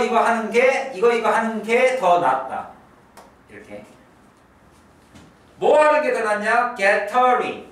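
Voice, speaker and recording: A male English teacher giving an exam prep lecture, repeating key phrases slowly and drilling his students. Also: sits high, a bit bright, heavy reverb, recorded from a distance.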